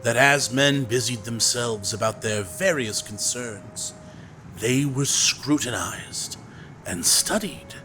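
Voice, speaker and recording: An actor playing a young theatre director who reads a novel aloud with slow, dramatic delivery in an audio drama. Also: male voice, medium pitch, fairly bright tone, slight background noise.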